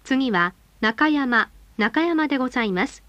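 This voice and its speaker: Woman, high voice, bus announcer, formal polite delivery, measured phrasing, dry close sound. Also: animated, fairly bright tone, dry recording, muffled recording. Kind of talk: scripted bus stop announcements.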